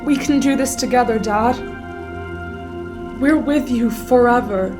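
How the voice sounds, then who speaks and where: A woman's voice: a little high, measured pace, close to an everyday voice. A woman playing a superhero's devoted teenage daughter in a radio play, with music under the voice.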